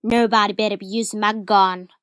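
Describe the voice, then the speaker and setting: A woman voicing an eager, excitable seven-year-old boy in an amateur comic dub. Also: mid-range voice, brisk, clean tone, no room sound, clean studio sound, close to an everyday voice.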